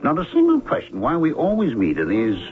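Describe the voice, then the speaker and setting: Radio drama: suave, flirtatious professor, theatrical flattery, very animated delivery with some creak. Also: male voice, on the high side, brisk. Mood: smitten, probing. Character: smooth, flirtatious professor, a practised ladies' man. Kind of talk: old-time radio drama acting. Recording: tinny band-limited old radio broadcast, music behind.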